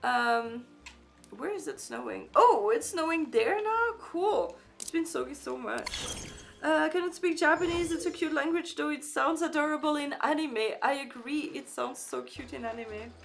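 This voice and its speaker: A woman's voice: sits high, moderate pace, vocal fry, fairly bright tone, faint music behind. A woman streaming a game live, chatting casually with viewers and reading their messages aloud, with frequent fillers and restarts; English is not her first language. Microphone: desk microphone.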